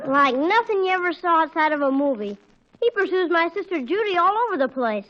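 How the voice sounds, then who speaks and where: An old, dull-sounding radio comedy recording of a cocky schoolboy. The voice sits high, and he needles with smug, deadpan confidence and slangy wisecracks. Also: fairly quick, a faint crowd. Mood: bragging. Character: cocky, teasing kid brother, wisecracking schoolboy. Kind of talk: 1940s radio comedy acting. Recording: muffled, band-limited 1940s radio recording.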